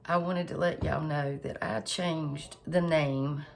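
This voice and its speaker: A woman, a little hoarse and stuffed up, chatting homily through a cooking tutorial for her viewers. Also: sits low, steady tone, slow pace. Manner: homey, chatty, lots of fillers, addresses viewers directly. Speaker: home cook channel host.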